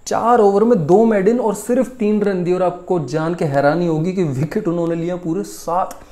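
A man's voice: high voice, fairly even intonation, normal speed, warm tone. A man in his twenties from India with an Indian accent, hosting a casual, emphatic cricket news rundown in a conversational way, recorded in a small room at home. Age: 20s. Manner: conversational, emphatic, repeats key points for effect.

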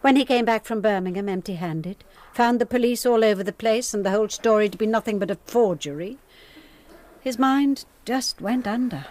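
Actress doing a plain-spoken housekeeper, stoic, fairly high voice. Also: female voice, moderate pace, a little mellow, lightly acted. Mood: matter-of-fact. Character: brisk middle-aged female housekeeper. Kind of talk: 1990s radio drama acting.

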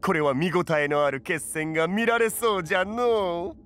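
A man's voice: sits high, steady tone, moderate pace, fairly bright tone. An actor playing an amused, theatrical game master with archaic old-man diction in an anime audio drama. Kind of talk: Japanese anime voice drama acting.

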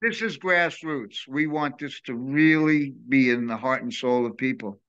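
Older man, bright voice over a dull video call. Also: mid-range voice, a little slow, clear, resonant tone. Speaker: guest. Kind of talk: casual interview chat. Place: home room, video call.

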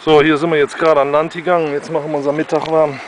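A man's voice: fairly high pitch, little pitch movement. A man in his thirties, a father and outdoor vlogger, casually explaining his food and gear to viewers outdoors by a river. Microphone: handheld camera mic. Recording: noisy outdoor camera audio by a river. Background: rushing river water.

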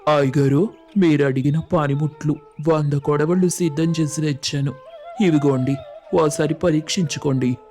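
A man plays a poor village blacksmith in an animated moral tale. He is earnest, deferential and rustic in speech. The voice sits a little high and soft-edged, with music underneath. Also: normal speed, close to an everyday voice. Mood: respectful. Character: poor, honest, humble village blacksmith, pleading and tender husband. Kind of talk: animated moral story voice acting.

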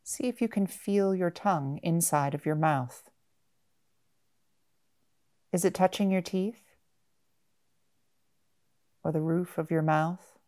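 A woman guiding a meditation in a gentle, measured manner, with long pauses between short phrases. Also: lowish voice. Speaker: mindfulness meditation guide. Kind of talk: guided body-scan meditation.

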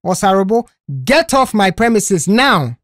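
A woman's voice: mid-range voice, animated, unhurried, dry recording, clean studio sound, pitched above the performer's own voice, lightly acted. A woman playing a stern, fed-up male boss and uncle in an audio story, scolding with authority. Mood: angry, dismissive.

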